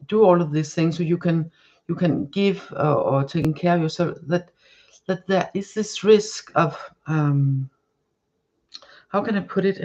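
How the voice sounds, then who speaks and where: A woman's voice: on the low side, muffled recording. Woman reading tarot cards. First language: Danish. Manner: searching for words, many restarts and fillers. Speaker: tarot card reader. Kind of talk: live-stream tarot reading.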